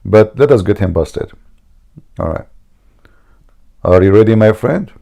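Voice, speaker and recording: Male host, low gravelly dark voice, argumentative debate style. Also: animated, unhurried. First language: Arabic. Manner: argumentative, heavy accented English with grammar slips; frequent throat clearing. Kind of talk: live call-in religious debate.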